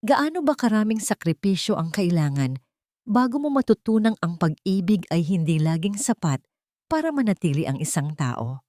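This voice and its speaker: A woman's voice: mid-range voice, big pitch swings, normal speed, dark tone, no echo, clean studio sound. A woman reading a scripted, dramatic story as its narrator.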